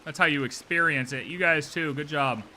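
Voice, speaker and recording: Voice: medium pitch, moderate pace, sharp tone, a little hiss or hum. A streamer giving casual, playful, exclamatory live commentary on a game, often repeating phrases. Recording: stream with game music behind.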